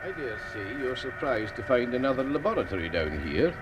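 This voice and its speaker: A man playing a grave, secretive radio astronomer with a Scottish accent, phrasing deliberately in a radio drama. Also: mid-range voice, sing-song, background noise, lightly acted. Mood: guarded, confiding.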